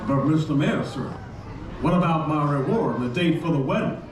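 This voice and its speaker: A man's voice: on the high side, brisk, background noise, natural voice. A man playing a polite, earnest young musician hero in a children's puppet show, speaking deferentially. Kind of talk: children's puppet theatre acting. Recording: echoey theatre from far off, crowd.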